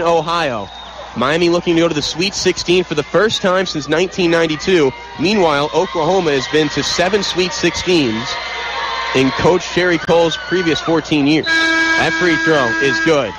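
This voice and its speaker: A man with an American accent calling live radio basketball play-by-play from an arena, energetic and detailed, getting excitable on big plays. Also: on the high side, poor audio. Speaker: play-by-play sports broadcaster.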